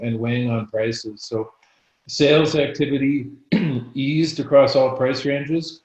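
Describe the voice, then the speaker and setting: A hoarse man who is losing his voice gives a real estate market update as an investor agent, clearing his throat and restarting his sentences often. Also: medium pitch, darkish tone, highs cut off. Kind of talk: market update in a live panel. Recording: roomy echo.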